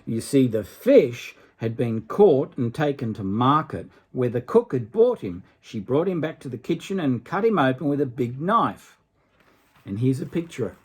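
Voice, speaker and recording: A man's voice: mid-range voice, very animated, moderate pace, a little mellow, husky. An older man reading a children's story aloud expressively, dramatically, with asides to a young listener. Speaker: storybook reader.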